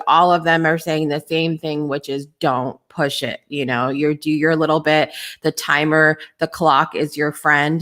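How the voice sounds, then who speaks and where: A woman hosting a live podcast, talking in a casual, candid way with lots of ums and restarts, her voice hoarse and lower than usual. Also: a bit bright. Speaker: podcast host. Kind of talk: casual live-show chat.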